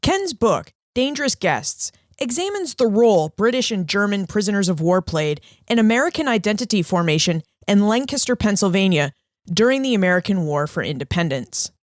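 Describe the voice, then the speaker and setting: A woman's voice: medium pitch, big pitch swings, fairly quick, no echo, clean studio sound. A woman hosting a history podcast, reading a scripted introduction and then welcoming and questioning her guest in an upbeat, friendly way. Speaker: podcast host.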